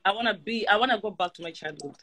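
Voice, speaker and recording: A woman's voice: mid-range voice, very fast, pushed and bright. A young Kenyan woman with a Kenyan accent, a guest in a casual interview, loose and exclamatory in how she talks. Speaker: pageant founder, guest.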